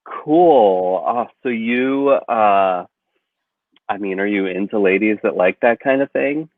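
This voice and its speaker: A man's voice: lowish voice, dry recording, narrow, tinny audio. A man doing a gushing, flustered woman dater. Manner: gushing, flustered, quick to apologize. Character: eager chatty woman dater, Shrek fan. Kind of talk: improv comedy scene.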